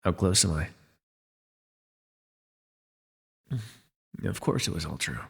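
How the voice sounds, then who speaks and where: A man playing a captured telepath supervillain, a sardonic and worldly former mentor, in an immersive audio roleplay, with a husky, gravelly voice and theatrical, wry delivery. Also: sits low, fast talker, fairly bright tone, heavily acted. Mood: smug, needling. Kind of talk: immersive ASMR roleplay voice acting. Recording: long reverb, faint music behind.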